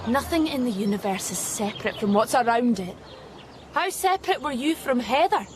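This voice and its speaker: A woman playing an eerie alien replica of a child in a radio drama, glib, taunting and unnervingly calm. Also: a little high, moderate pace, a little hiss or hum, natural voice. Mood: persuasive, calm.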